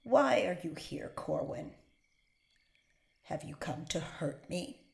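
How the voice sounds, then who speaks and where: A defiant imprisoned Puritan wife in a period audio drama. Her creaky voice is dry, guarded and slow, echoing around the room. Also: low-pitched, animated, exaggerated voice. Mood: guarded, sardonic.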